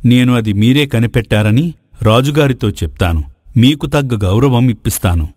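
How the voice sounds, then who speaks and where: A man acts out a greedy ascetic of an old storybook kingdom. He speaks at a middling pitch in formal, courtly phrases. The sound is dry, without any room around it. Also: normal speed, a little mellow, dry recording, lightly acted. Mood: curious, gracious. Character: flattering, greedy male ascetic sage, courtly and self-serving. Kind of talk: dramatised moral folk tale.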